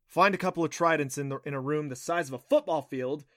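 A man's voice: medium pitch, expressive, normal speed, fairly bright tone, no echo, clean studio sound, lightly acted. Man as a wry young male adventurer. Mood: frustrated sarcasm. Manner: wry, informal. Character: anxious, sarcastic, easygoing young male adventurer.

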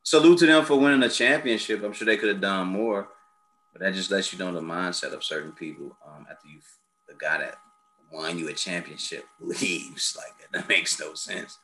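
Bright, lowish-voiced American man, blunt and casual. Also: moderate pace, poor audio. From South Side of Chicago, United States. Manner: blunt, informal, swears freely. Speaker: host. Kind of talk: casual opinionated chat.

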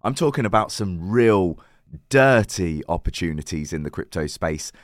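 A man with a croaky, worn voice hosting his own channel, giving chatty crypto commentary with lots of fillers and self-corrections. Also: sits low, expressive, moderate pace, heavy background noise. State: croaky, recovering lost voice. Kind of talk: casual to-camera crypto commentary.

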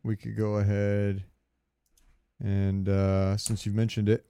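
Guy on a gaming podcast, smooth clear voice, rambly and sweary, dry close sound. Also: fairly low pitch, completely flat delivery, slow pace, dark tone, dry recording. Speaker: podcast co-host. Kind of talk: casual chat about video games.

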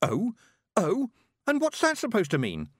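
A man's voice: wildly swooping pitch, a little slow, dry recording, studio quality, full character voice. A man voicing an upset, defiant young witch girl, wailing and snappish, in audiobook style. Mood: indignant. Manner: wailing, snappish, high-strung. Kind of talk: audiobook character acting.

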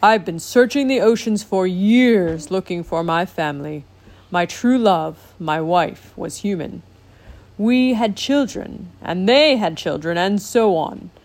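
A commanding sea king with a deep, booming delivery that fills every word, as a woman reading a storybook puts him on. He speaks slowly and with weight, a stern old ruler used to being obeyed. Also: darkish tone. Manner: slow and commanding.

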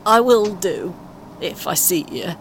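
An actress playing a polite, patient older British grandmother in an audio play, giving short, gently encouraging replies. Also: expressive, measured pace. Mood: kindly. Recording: faint vehicle noise behind. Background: vehicle rumble, coach ambience.

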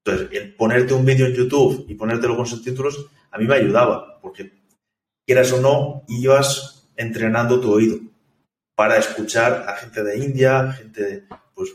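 A man, a software developer, talking casually in an interview, thoughtful and hesitant, with many restarts. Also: mid-range voice. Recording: echoey room, distant from mic.

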